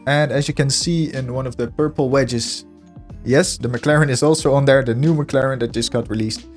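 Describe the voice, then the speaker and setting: A hoarse man who is losing his voice narrates his own sim racing footage in an analytic, self-deprecating way. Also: mid-range voice, steady tone, moderate pace, darkish tone. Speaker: sim racing video host. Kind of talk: commentary over gameplay footage. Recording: voice-over with music underneath. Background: background music.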